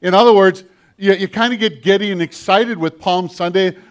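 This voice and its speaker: An older man preaching a sermon with a children's message, animated and storytelling with a big voice. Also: high-pitched, moderate pace.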